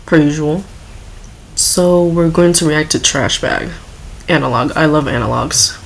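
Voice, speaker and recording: A woman's voice: deep voice, a little slow, creaky, rough recording. A woman giving casual, offhand reaction commentary and reading text aloud as a video host.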